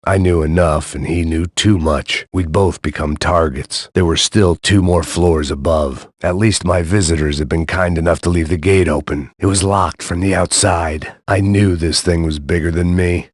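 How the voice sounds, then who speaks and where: An actor playing a weary, cynical hard-boiled ex-cop, delivering dry, sardonic inner monologue as video game voice lines. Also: male voice, low voice, steady tone, moderate pace, hardly any pauses, dry recording. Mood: world-weary.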